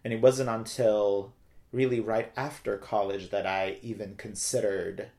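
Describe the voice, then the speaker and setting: A man's voice: lowish voice, steady tone, a little slow, mellow. A talkative middle-aged man from Turkey, telling personal stories casually as an interview guest. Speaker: interview guest, theater director.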